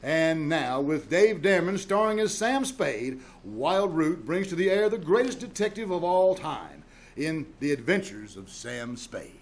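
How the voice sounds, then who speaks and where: A man announcing in 1940s radio style, delivering a show introduction and sponsor pitch with emphatic, showy salesmanship. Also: big pitch swings, unhurried, noisy background. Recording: live stage with music.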